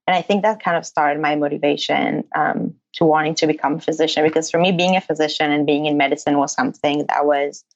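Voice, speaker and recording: Guest voice: young Israeli-raised woman, earnest and chatty, low with vocal fry, dry dull lo-fi sound. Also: fairly even intonation, quick pace, hardly any pauses, no room sound. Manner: earnest, many fillers, frequent laughter. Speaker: guest, incoming medical student. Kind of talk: casual interview answers.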